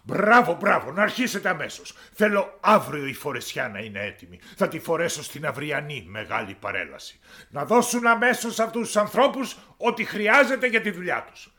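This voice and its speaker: A man voicing a vain, greedy male king who barks demands in shrill, excitable outbursts. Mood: delighted.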